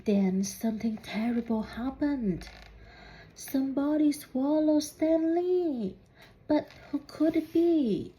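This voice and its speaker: Female storybook reader, higher-pitched and soft-toned, very slow and halting, faint noise behind. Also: lively intonation, rough recording. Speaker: student reading a picture book aloud. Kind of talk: storybook read-aloud with questions to young listeners.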